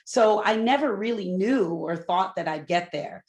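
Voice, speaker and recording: A middle-aged woman from Newport, Rhode Island, a loud and candid corporate executive telling her career story on a panel with asides to the audience. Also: medium pitch, lively intonation, a little slow. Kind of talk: panel talk, personal story.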